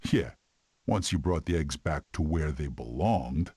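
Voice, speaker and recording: A skeptical cartoon animal uncle for a video game, low-pitched and unhurried. His delivery is dry and reproving, with lively intonation. A faint hiss sits behind him. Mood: dry, unimpressed. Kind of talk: animated film tie-in game character dialogue.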